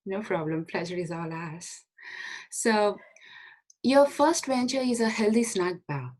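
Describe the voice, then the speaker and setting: A woman's voice: mid-range voice, expressive, unhurried, darkish tone, rough recording. A woman hosting a video interview who introduces her guest politely and answers with short encouraging reactions. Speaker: interview host.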